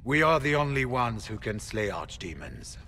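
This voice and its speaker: An actor playing a grave, duty-bound veteran warrior in a fantasy game, speaking in a solemn, formal way. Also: male voice, mid-range voice, very animated, moderate pace, sharp tone, slight background noise. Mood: ominous, resolute. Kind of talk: dramatic fantasy game acting.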